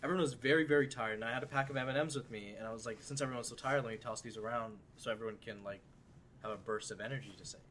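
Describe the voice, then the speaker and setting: A man's voice: mid-range voice, racing, a bit bright, a little hiss or hum. A seventeen-year-old man hosting a casual podcast chat, rambling with lots of fillers. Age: teens. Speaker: podcast host.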